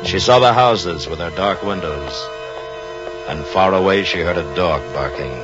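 Man doing grave suspense narrator, deep voice, measured, muffled old radio sound over music. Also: close to an everyday voice. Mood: grave, suspenseful. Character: grave, literary storyteller of a suspense tale. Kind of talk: scripted radio drama narration.

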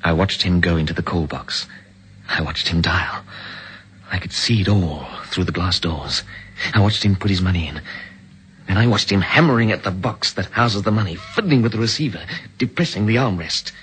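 A man's voice: low-pitched, normal speed, fairly bright tone, slight background noise, poor audio. An actor with a British accent narrating and playing a driven man in a vintage radio drama, clipped and urgent. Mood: grim. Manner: urgent and clipped in scenes, grim when narrating. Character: driven British man racing to stop a bomb. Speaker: narrator and lead character.

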